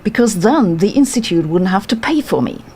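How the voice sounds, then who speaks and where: A woman's voice: medium pitch, animated, normal speed, a little mellow, slight background noise. A middle-aged woman with a German accent who reads a scripted personal story in a dry, sardonic, matter-of-fact way. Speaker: physicist telling her story. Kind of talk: scripted first-person narration.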